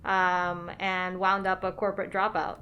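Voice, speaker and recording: A woman's voice: fairly low pitch, completely flat delivery, unhurried, lo-fi sound, soft background music. A woman chocolate maker being interviewed on a podcast, easygoing and polite, with dry humor. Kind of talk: casual interview chat.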